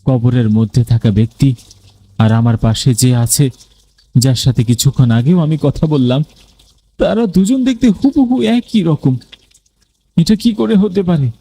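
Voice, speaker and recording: Husky, mellow man narrating a ghost story. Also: mid-range voice, normal speed, pauses often. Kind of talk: scripted first-person ghost story narration.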